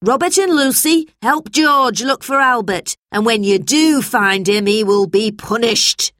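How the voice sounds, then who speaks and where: A woman's voice: on the high side, expressive, unhurried, fairly bright tone, dry recording, clean studio sound, voice pushed up. A woman voicing a strict, menacing schoolmistress in a children's story, stern and commanding. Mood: angry, stern.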